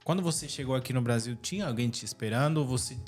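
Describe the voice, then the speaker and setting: A male podcast host chats casually with his guest, slipping in short, attentive follow-up questions. His voice sits at an ordinary pitch, with faint music playing underneath. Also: quick pace, darkish tone. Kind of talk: casual interview.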